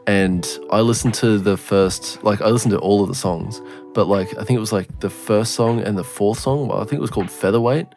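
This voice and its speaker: A man's voice: on the low side, brisk, darkish tone, faint music behind. A man in his twenties co-hosting a podcast, rambling in casual chat with heavy swearing and lots of fillers. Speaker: podcast co-host.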